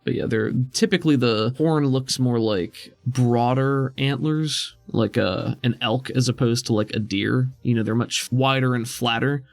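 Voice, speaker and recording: A man's voice: mid-range voice, measured pace, creaky, hardly any pauses, no echo. A man working as game master, narrating and explaining a tabletop game in a casual, conversational way.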